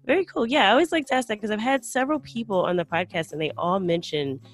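A woman's voice: medium pitch, lively intonation, quick pace, soft background music. A woman hosting a podcast, chatting casually with her guest and using many fillers. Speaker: podcast host. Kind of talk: casual interview chat.

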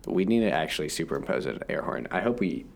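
A young man co-hosting a podcast, teasing and joking in casual banter, with a deep voice while a little sick. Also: expressive, fast talker, gravelly, slight background noise. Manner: teasing, joking, self-mocking. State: slightly sick. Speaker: podcast co-host.

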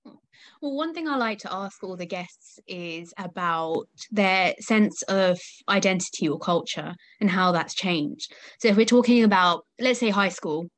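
A woman, a podcast host asking gentle questions in a chatty way. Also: mid-range voice, unhurried, no room sound. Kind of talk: casual interview.

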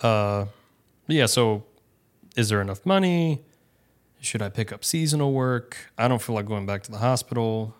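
A man's voice: medium pitch, expressive, moderate pace. A man co-hosting a podcast, chatting casually in a reassuring, self-deprecating way. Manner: reassuring, self-deprecating, restarts his sentences. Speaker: podcast co-host. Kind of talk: casual chat.

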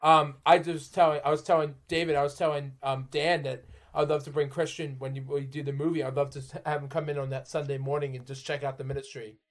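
Young man, early twenties, earnest, eager, repeats himself, slightly high voice. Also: little pitch movement, quick pace, hardly any pauses. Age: 20s. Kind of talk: casual podcast conversation.

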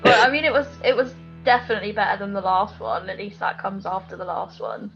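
Female British podcast co-host, chatty and teasing, casual banter, mid pitch, slightly dulled audio. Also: fast, creaky, lo-fi sound, faint music behind. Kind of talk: casual banter about a TV episode.

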